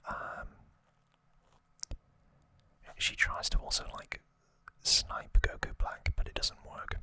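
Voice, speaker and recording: A man whispers an ASMR recap at a slow pace. The whisper is breathy and very sharp, with no voiced tone. He moves along haltingly, pausing between short phrases. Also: dry recording. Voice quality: breathy, unvoiced whisper throughout. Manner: soft, unhurried, halting delivery with pauses. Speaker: ASMR host.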